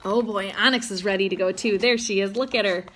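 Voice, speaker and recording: A woman's voice: mid-range voice, steady tone, fairly quick, fairly bright tone, a little hiss or hum. A woman reading a children's picture book aloud, expressively, with chatty asides to young listeners. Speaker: storytime reader.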